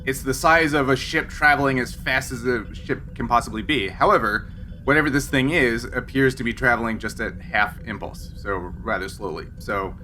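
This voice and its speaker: A man with a bright voice acts as game master for a casual tabletop session. He explains things haltingly and checks in with his players, over slight background noise. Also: medium pitch.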